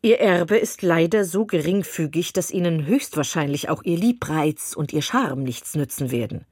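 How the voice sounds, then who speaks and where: A woman reading as a pompous male clergyman from a classic novel, long-winded and condescending. The voice sits low and slightly husky. The sound is dry and close. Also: lively intonation, moderate pace, a little mellow, no room sound. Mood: insistent. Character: pompous self-satisfied male clergyman suitor.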